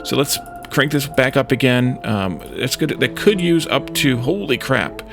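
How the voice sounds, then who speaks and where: A man with a creaky, gravelly voice at a middling pitch narrates his own gameplay. He thinks out loud in short, halting phrases, muttering to himself as he decides each step. Music is clearly audible behind him. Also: expressive. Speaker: let's play host. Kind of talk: gaming commentary, thinking aloud while playing.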